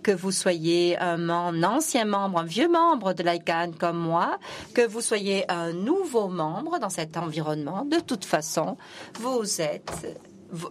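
Woman interpreter at a conference, low voice, lots of euh and hein, faint hiss behind. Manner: steady flow with many fillers and restarts. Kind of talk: simultaneous interpretation of a speech.

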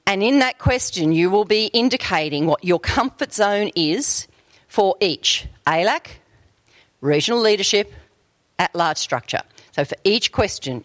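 Woman, brisk meeting facilitator, dry close sound. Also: medium pitch, dry recording. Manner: brisk, chatty, checks in with "right?". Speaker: workshop facilitator. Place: meeting room.

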